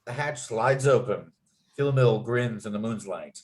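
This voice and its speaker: A man reading screenplay scene headings and action aloud as narrator, with expressive storytelling delivery. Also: medium pitch, normal speed.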